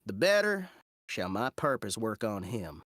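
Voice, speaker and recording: A man's voice: lowish voice, very animated, a little slow, a bit bright, dry recording, clean studio sound, exaggerated voice. A man playing a scheming villain in a theatrical Shakespeare monologue, musing aloud with malicious relish in a drawling mock Southern accent.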